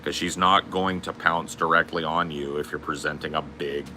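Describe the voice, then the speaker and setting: A man with a booming voice, telling stories in a casual, profane podcast chat. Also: fairly even intonation, moderate pace, pushed and bright, slight background noise. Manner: profane, storytelling. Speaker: podcast host.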